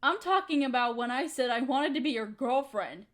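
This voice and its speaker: A woman's voice: high-pitched, expressive, moderate pace. A woman playing a hurt, resentful young woman confronting her roommate in an emotional, accusatory audio roleplay monologue. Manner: emotional, accusatory, pointed rhetorical questions.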